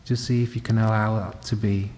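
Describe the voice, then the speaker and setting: A man guides a meditation in a dark, mellow, low voice. His delivery is calm and gentle, with long pauses between phrases. The sound carries a long reverberant tail. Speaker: meditation teacher. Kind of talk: guided body scan meditation. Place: indoor room at a wellness centre. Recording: reverberant hall, faint background noise.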